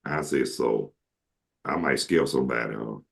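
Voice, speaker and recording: A man with a deep, carrying voice telling casual, joking stories to friends as a talk show host, slangy and quoting people as he goes. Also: normal speed, gravelly, a bit bright. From southern United States. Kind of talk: casual comic storytelling with friends.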